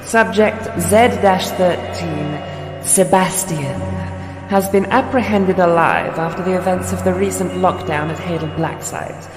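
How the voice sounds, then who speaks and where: A woman playing a detached research doctor questioning a captive in a formal, measured way, in a fan comic dub. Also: on the low side, lightly acted. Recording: echoey, long reverb, loud music behind. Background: music.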